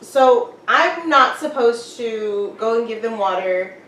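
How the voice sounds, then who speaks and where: A woman chats as a podcast guest at home, easygoing and often laughing as she rambles. Her voice sits a bit high. She is picked up from a distance in an echoey room with a faint hiss. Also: expressive, slow pace, a bit bright. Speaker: podcast guest, police dispatcher. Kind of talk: casual interview chat. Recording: roomy, distant mic with faint noise.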